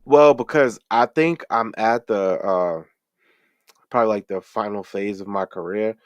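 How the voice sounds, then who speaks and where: A middle-aged man with a fairly low voice talks through his answers in a friendly, reflective way. He halts and restarts often, and the recording is dry and close with no room sound. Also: dry recording, muffled recording. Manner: rambling, halting, many restarts and fillers. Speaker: guest, fellow interviewer and streamer. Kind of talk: casual interview answers.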